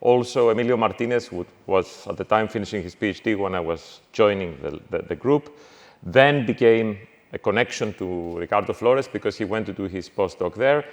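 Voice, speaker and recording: Middle-aged man lecturing in a reverberant hall. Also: on the low side. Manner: halting, many fillers and restarts. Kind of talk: university lecture.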